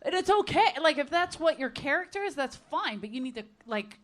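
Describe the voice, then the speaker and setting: A woman's voice: sits high, sing-song, fairly quick, a bit bright. A woman from Ireland on a comedy panel, giving blunt, profane, laughing feedback. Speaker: guest panelist. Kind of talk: casual comedy banter.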